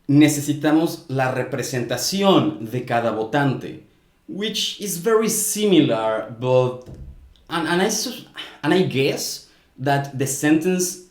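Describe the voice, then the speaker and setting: A man's voice: mid-range voice, animated, takes it slowly. Mexican man explaining carefully in an echoey room. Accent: Mexican Spanish. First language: Spanish. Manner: earnest, explains carefully with frequent restarts. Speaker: YouTuber commenting on language. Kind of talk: casual explanatory commentary.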